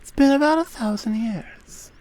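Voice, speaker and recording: A woman playing a regal, centuries-old Amazon queen in an ASMR roleplay, speaking softly and formally with deliberate pauses. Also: on the high side, lightly acted, rough recording. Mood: gracious, pleased. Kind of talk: ASMR roleplay audio drama, addressed to the listener.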